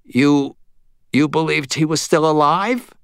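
A man's voice: a little high, takes it slowly, creaky, a bit bright, smooth, no echo, studio quality, pitched above the performer's own voice, full character voice. A man voicing a nervous, put-upon male medium in an audiobook, with a pleading, hesitant, apologetic manner.

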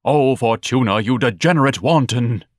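A man's voice: mid-range voice, moderate pace, dry recording, clean studio sound, pitched above the performer's own voice, close to an everyday voice. A man voicing a pompous, self-pitying grown son in an audiobook, declaiming in florid, haughty phrases. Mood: outraged, melodramatic. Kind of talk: audiobook character dialogue.

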